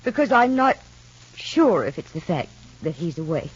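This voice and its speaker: An actress playing an anxious, fearful mother in a halting, earnest 1950s radio soap style. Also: lowish voice, very animated, slightly rough. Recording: dull vintage radio drama recording.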